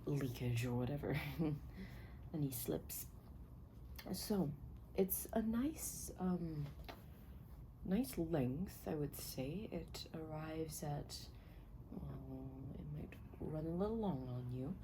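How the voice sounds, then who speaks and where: Casting: woman, low register, soft breathy ASMR delivery drifting into whisper, polite and unhurried personal stylist character; noticeably noisy recording. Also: lively intonation. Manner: gentle, polite, attentive, unhurried. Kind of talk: soft-spoken ASMR roleplay.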